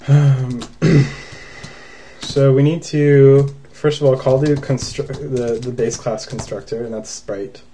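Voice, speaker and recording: Young man, unhurried casual tutor, soft mellow tone, faint typing behind. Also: medium pitch, steady tone, slight background noise. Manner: casual, thinking aloud with many ums. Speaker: programming tutorial host. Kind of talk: screencast coding tutorial.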